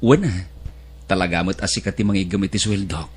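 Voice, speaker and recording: A man's voice: deep voice, brisk, close to an everyday voice. A man acting an earnest young mechanic who plans to marry, in a dramatised story. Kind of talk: radio-drama style acted dialogue.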